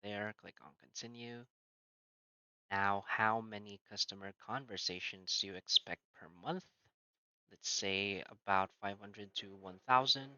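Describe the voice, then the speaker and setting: A man narrating a screen-recorded software tutorial, walking through each step plainly with frequent "so" and "uh" fillers. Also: fairly low pitch, fairly even intonation, unhurried, clean tone, no room sound, muffled recording.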